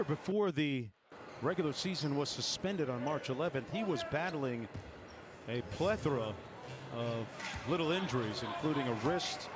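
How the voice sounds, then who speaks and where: A man doing polished, emphatic play-by-play commentary for a basketball broadcast. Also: on the high side. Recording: loud arena noise, bouncing ball behind. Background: game ambience and bouncing basketball.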